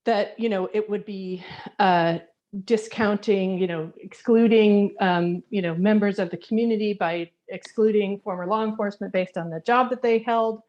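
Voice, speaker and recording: A woman resident speaking earnestly and haltingly, with many fillers, in a public comment at a community forum over a video call. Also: mid-range voice, steady tone, a little mellow. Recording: dull lo-fi call audio.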